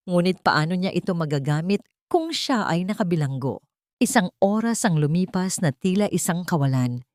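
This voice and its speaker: A woman's voice: on the low side, a little mellow, no echo, studio quality. A woman with a Filipino accent reading a dramatic story as an audiobook narrator.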